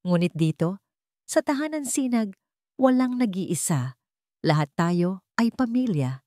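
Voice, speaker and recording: A woman voicing a humble, grateful mother in a narrated romance story, speaking gently and earnestly with emotion. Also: mid-range voice, animated, measured pace, dry recording, clean studio sound, lightly acted. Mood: moved, grateful. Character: grateful, humble young mother, once homeless.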